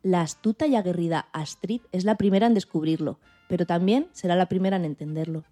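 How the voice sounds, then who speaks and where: Female podcast narrator, fairly low and soft-toned, scripted storytelling, light music underneath. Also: fairly quick. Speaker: podcast host.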